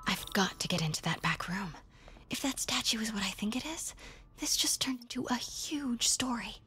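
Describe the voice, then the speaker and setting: A young probing reporter. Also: female voice, on the high side, expressive, moderate pace, sharp tone. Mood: whispering. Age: young adult. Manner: friendly but persistently probing. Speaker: undercover reporter.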